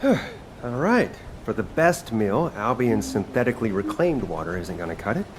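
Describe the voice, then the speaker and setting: A man's voice: fairly low pitch, sing-song, moderate pace, faint music behind. A man voicing a laid-back, sardonic young chef in a video game, speaking casually and expressively. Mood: casual, determined.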